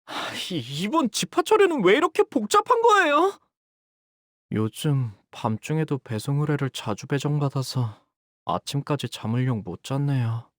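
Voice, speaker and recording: A man playing an earnest, dutiful young fixer in a video game, polite and soft-spoken but easily flustered. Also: fairly high pitch, sing-song, moderate pace, no echo, clean studio sound. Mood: weary, busy. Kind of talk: expressive game character voice lines.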